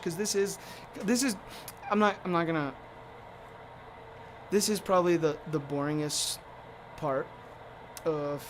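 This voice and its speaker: A man with a slightly high voice roughened into a raspy, low rasp by allergies talks loosely to his viewers. He wanders through fillers and restarts as he demonstrates a casting process. A faint background noise sits under the voice. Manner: rambling, with many fillers and restarts. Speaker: sculptor demonstrating mold casting. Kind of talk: casual livestream talk to viewers.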